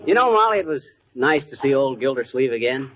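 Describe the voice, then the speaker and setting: An actor playing a wry, teasing husband in a 1940s radio comedy. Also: male voice, mid-range voice, very animated, brisk, over loud music, lightly acted. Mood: teasing, genial. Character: joking husband bantering with his wife in radio comedy. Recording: noisy muffled 1940s radio recording.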